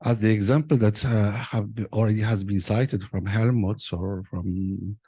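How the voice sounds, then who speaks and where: A man's voice: fairly low pitch, steady tone, moderate pace, dark tone, breathy edge, dry recording. A man making an unscripted comment in a conference discussion, hesitant, often repeating and rephrasing his words. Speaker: meeting participant giving a comment.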